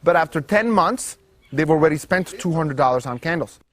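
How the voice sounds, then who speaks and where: A young man from Guatemala City, a solar startup co-founder, giving an earnest, measured documentary interview. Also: fairly high pitch, animated. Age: young adult.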